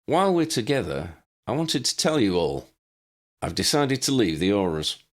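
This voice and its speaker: A man's voice: lowish voice, sing-song, moderate pace. A man voicing an easygoing, earnest young father who speaks plainly in an audiobook. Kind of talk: audiobook character reading.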